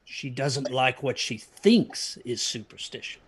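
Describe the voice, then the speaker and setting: A man's voice: mid-range voice, expressive, unhurried, husky. An actor playing a protective, haunted father who tells his children a ghost story in a hesitant, earnest way, in an audio drama. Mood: anxious, protective. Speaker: storyteller narrator.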